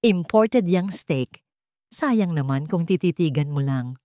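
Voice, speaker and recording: A woman voicing a fake, gushing aunt with a shrill voice in an audiobook drama. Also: expressive, slow pace, warm tone, dry recording, clean studio sound. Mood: falsely friendly.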